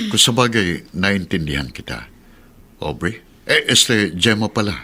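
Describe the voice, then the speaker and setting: A man playing a knowing, insinuating middle-aged uncle in a radio drama, speaking deliberately. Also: fairly low pitch, sing-song, pushed and bright, husky, exaggerated voice. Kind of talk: Tagalog radio drama acting.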